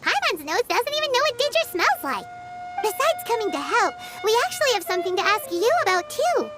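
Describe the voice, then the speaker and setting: An actress playing a tiny, chatty, excitable fairy companion with a childlike voice in a video game. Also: female voice, extremely high pitch, brisk, over loud music. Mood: curious. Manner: perky, speaks of herself in third person.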